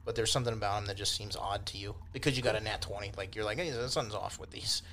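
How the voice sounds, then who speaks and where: A man's voice: on the low side, steady tone, rapid-fire, sharp tone, slight background noise. A man acting as game master, improvising descriptions in a casual, rambling, colloquial way.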